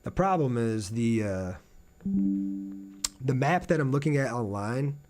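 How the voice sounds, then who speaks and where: A man's voice: mid-range voice, animated, slow pace, a little mellow, soft background music. A man giving casual live gameplay commentary as a game streamer, talking to his viewers as he plays.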